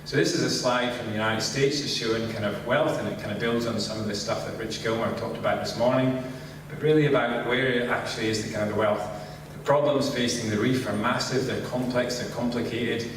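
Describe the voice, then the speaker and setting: A middle-aged man from Scotland with a Scottish accent, giving a relaxed conference talk and saying "kind of" constantly. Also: mid-range voice, brisk, slight background noise. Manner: relaxed, filler-heavy, says "kind of" constantly. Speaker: conference speaker. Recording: echoey hall, distant mic.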